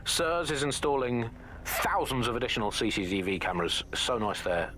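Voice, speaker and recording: A man's voice: mid-range voice, normal speed, sharp tone, close to an everyday voice. A man with a British accent playing a wry pirate radio host, bantering sarcastically with mock enthusiasm and stammering restarts. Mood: sardonic. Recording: faint background noise.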